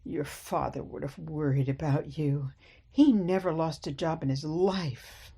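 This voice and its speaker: An older woman playing a sweet but stubborn elderly mother in a radio play, gently chiding and coy. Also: sits low, steady tone, a little slow, gravelly, breathy edge, exaggerated voice.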